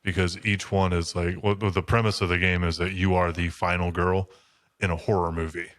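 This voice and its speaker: A man's voice: low-pitched, little pitch movement, moderate pace, dry recording, studio quality. A man chatting casually as a podcast co-host in a blunt, matter-of-fact way. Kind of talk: casual chat.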